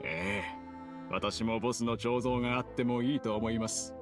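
アニメ調ゲームで、忠実で謙虚な若い補佐役を丁寧な敬語調で演じる男性。. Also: male voice, medium pitch, steady tone, normal speed, music in the background, close to an everyday voice. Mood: businesslike, polite. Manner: formal, deferential. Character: polite, loyal young male aide, humble and earnest. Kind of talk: Japanese anime game voice acting.

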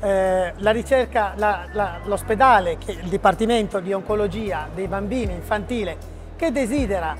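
A middle-aged man hosts a relaxed studio chat, steering his guest with friendly prompts. Music plays clearly under his voice throughout. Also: high-pitched, normal speed. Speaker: host. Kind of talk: casual interview. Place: studio with printed backdrop.

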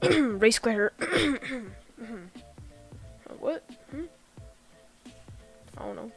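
A woman's voice: fairly high pitch, expressive, very slow, vocal fry, soft background music. A woman with a sick-sounding voice, chatting casually to viewers as she talks them step by step through a computer setup. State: sick, voice a bit off. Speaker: YouTube tutorial creator. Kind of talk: casual screen-recorded tutorial voice-over.

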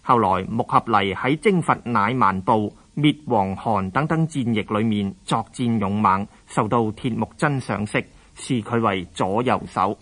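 A man's voice: medium pitch, fairly bright tone. A man with a Hong Kong Cantonese accent, reading scripted historical narration as a radio drama narrator.

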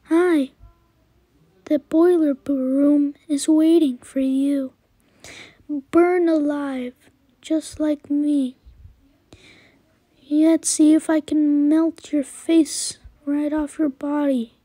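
A woman's voice: high-pitched, fairly even intonation, drawn-out words, long pauses, warm tone, dry recording. A woman voicing a creepy burnt child-like animatronic doll in horror character lines, speaking with sweet menace. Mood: sweetly menacing.

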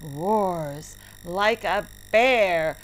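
A woman reading a children's play script aloud as narrator, deliberately and word by word. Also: lowish voice. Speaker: story narrator for children's English lessons. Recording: clearly noisy recording with clicks.

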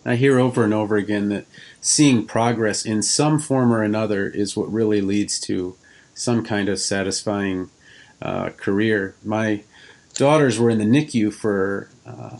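Low-pitched man hosting an interview. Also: fairly even intonation, unhurried, a little mellow. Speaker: podcast host, interviewer. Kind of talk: casual interview.

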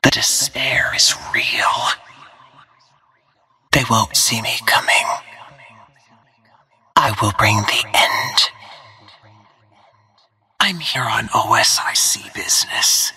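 An actress playing a cold, deadly, devoted female assassin in a video game, speaking in a menacing, controlled, breathy hiss. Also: extremely low pitch, slow, pauses often, sharp tone, dry recording, full character voice. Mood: bleak. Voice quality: breathy, hissing whisper. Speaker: video game character voice actor. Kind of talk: video game combat voice lines.

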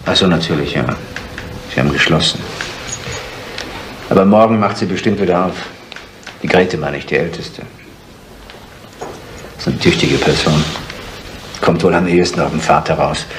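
Actor as a deep-voiced, unconcerned village neighbour. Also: male voice, moderate pace, pauses often, close to an everyday voice. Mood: nonchalant. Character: unconcerned village neighbour, gossipy married man. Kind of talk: German radio crime drama acting. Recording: faintly noisy 1970s radio play.

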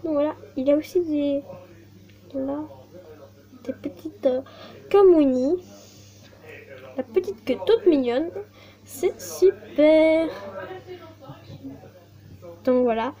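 A woman's voice: sits high, slow pace, pauses often, warm tone. A young woman chatting casually while unboxing toys at her desk, hesitant and full of fillers and apologies. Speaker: vlogger. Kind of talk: casual toy unboxing chat. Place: desk at home, indoors.

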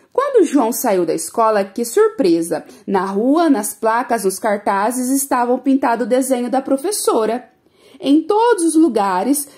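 Expressive woman reading a storybook. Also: fairly high pitch. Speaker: children's story reader. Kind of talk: reading a children's picture book aloud.

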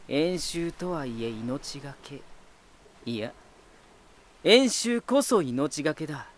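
An actor playing a proud, ominous lighthouse spirit hardened by war, deliberate, cryptic and condescending, in a dramatic voice drama. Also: male voice, fairly high pitch, very animated, heavily acted. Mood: scornful. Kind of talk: dramatic Japanese voice drama acting.

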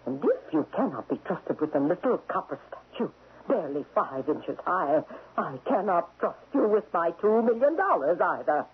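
An actress playing an imperious, wealthy widow in a radio drama, sharp and unyielding, with precise, haughty, formal diction. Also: female voice, lowish voice, big pitch swings, moderate pace, slightly rough, heavily acted. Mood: haughty, stern. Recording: muffled lo-fi old radio broadcast.

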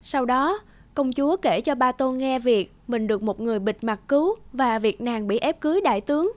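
A woman's voice: sits high, expressive, a little fast, dry recording. A woman reading scripted fairy-tale narration as a storyteller.

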